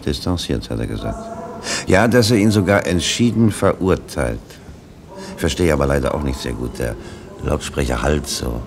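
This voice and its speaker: A man's voice: rumbling low, animated, moderate pace, creaky, heavily acted. A man playing a timid, placating bystander in a German radio play, speaking with cautious hesitation. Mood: dutifully reporting. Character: timid, placating bystander relaying a public speech. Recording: noisy radio play, ambience behind.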